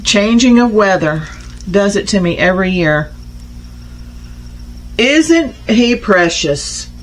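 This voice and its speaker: A woman hosting a casual crafting unboxing vlog, chatty and homey, slightly raspy from a starting cold. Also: mid-range voice, expressive, slow, a little hiss or hum, rough recording. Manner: chatty, homey, addresses viewers as y'all. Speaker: crafting channel host.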